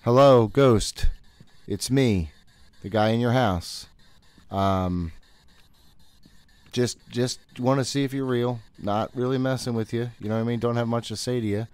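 A man's voice: medium pitch, unhurried, long pauses, darkish tone, clean tone, no echo. A man in his thirties giving casual, jittery, profane gameplay commentary, often talking to himself. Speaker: gaming host. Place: room at home.

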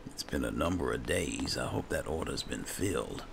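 A man's voice: rumbling low, lively intonation, moderate pace, creaky, background noise. A man roleplaying a character in a game playthrough, narrating casually and without hurry. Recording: background music under the voice. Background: game music.

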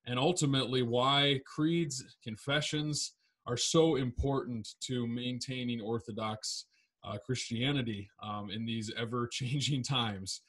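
A man's voice: mid-range voice, steady tone, measured pace, fairly bright tone. A man in his thirties hosting a podcast from a home study, talking in a friendly, upbeat way with a few fillers. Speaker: podcast host. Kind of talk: casual podcast chat. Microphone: large podcast mic on stand.

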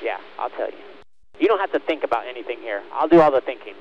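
A man's voice: mid-range voice, animated, quick pace, highs cut off, noisy background. A man working as a race spotter, speaking in short, repeated commands over a team radio. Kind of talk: urgent radio spotting calls to a driver.

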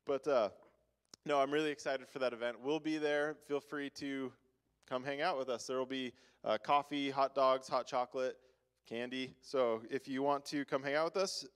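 A young man on a church stage giving chatty announcements full of "like" and small laughs, then a short devotional. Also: medium pitch. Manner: chatty, laughing, many "like" fillers. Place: church stage, large room.

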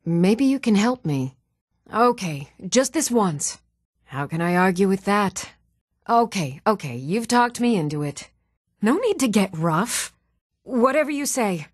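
An actress playing a steady, firm young woman in a fantasy video game, speaking with measured, earnest delivery. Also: medium pitch, no room sound, clean studio sound. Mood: matter-of-fact. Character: even-toned young adult woman of a fantasy world, steady and stern.

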